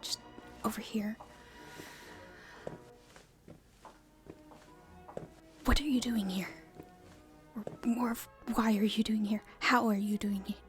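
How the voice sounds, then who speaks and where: A woman playing a nervous, polite young princess in an audio roleplay, speaking in a genteel, breathy way. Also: mid-range voice, fairly even intonation, moderate pace, soft background music, natural voice. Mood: urgent, bewildered. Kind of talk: roleplay ASMR acting.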